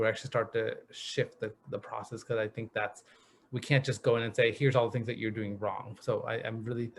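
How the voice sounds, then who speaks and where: A man speaking on a video panel, thoughtful and reflective, often pausing to find the right words. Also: lowish voice, gravelly, a little hiss or hum. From grew up in the US Northeast. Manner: thoughtful, searching for words, frequent restarts. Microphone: video call.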